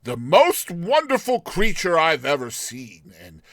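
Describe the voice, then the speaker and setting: A man voicing an excitable, boastful male university student in love, gushing and exclaiming in a storybook reading. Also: fairly high pitch, measured pace, no room sound, studio quality, heavily acted. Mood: exuberant, proud.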